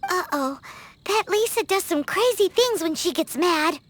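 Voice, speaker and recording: A woman voicing a tiny, excitable fairy companion who talks about herself in third person. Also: very high voice, measured pace. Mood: nervous. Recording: game cutscene with music behind.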